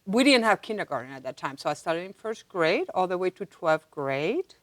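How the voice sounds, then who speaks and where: A woman from Spain speaks as a guest in a casual interview. Her pitch is a little low. The sound is dry and close, as in a studio. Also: big pitch swings, moderate pace, dry recording. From Madrid, Spain. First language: Spanish. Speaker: interview guest, university director.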